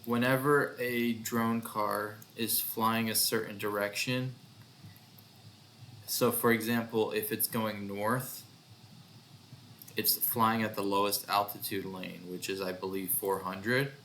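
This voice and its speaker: A man giving a casual demo of his own software project, thinking aloud in a hesitant way. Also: lowish voice, little pitch movement, slow pace, slight background noise. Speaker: developer presenting own project. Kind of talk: casual screen-recorded demo narration.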